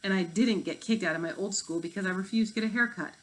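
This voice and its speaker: A woman's voice: medium pitch, quick pace, close to an everyday voice, low-quality recording. Cocky fifth grade boy, read by a woman, offhand, kinda defiant, storybook character. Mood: defensive, offhand.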